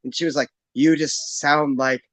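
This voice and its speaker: A man from the United States with a rural American accent, hosting a casual interview in a folksy, unhurried way. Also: a little high, steady tone, fairly bright tone, dry recording. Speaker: show host.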